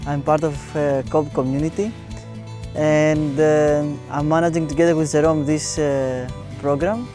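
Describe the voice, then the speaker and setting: A man explaining a volunteer project he coordinates, earnest, pausing with fillers. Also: fairly high pitch, little pitch movement, warm tone. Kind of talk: documentary interview. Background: guitar music.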